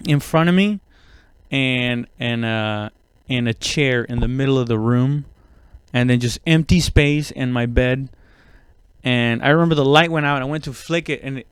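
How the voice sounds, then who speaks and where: Man, comedian podcast host, smooth clear voice, dry close sound, rambling, slangy, swears casually. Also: medium pitch, dry recording.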